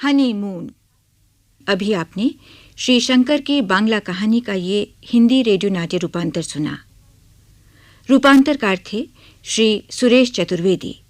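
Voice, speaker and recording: Slow, formal woman radio announcer. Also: mid-range voice, lively intonation, no room sound. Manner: formal, measured. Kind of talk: scripted closing credits announcement. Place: radio studio.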